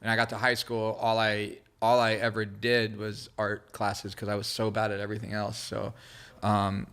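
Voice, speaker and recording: A man's voice: lowish voice, completely flat delivery, moderate pace, a bit bright, smooth. A man whose first language is Hebrew, telling stories casually and in a rambling, slangy way as a podcast guest. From born in Israel, grew up in California. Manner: heavy slangy fillers, restarts mid-thought. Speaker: podcast guest, creative director. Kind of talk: casual, rambling conversational storytelling.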